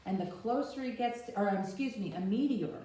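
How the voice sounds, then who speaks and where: A woman's voice: mid-range voice, a little fast, warm tone. A woman leading a children's story time, explaining things simply and giving instructions directly to young children. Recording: echoey room, distant mic, faint noise.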